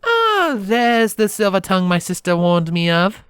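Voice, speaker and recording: A man voicing a regal, gentle young pony princess with a soft, silvery voice and formal, courtly phrasing, in a fantasy story. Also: high voice, big pitch swings, moderate pace, dry recording, clean studio sound, pitched above the performer's own voice. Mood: teasing.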